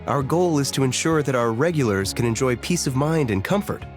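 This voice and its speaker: An actor playing a deferential banquet attendant in a video game, formal and very polite. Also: male voice, mid-range voice, expressive, brisk, faint music behind, close to an everyday voice. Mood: polite, apologetic.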